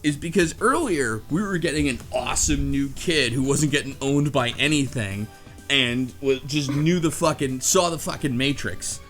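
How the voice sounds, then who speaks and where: A man's voice: mid-range voice, normal speed, fairly bright tone. Man chatting over background music. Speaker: podcast host. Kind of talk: casual discussion of a game's story. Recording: music under the voice.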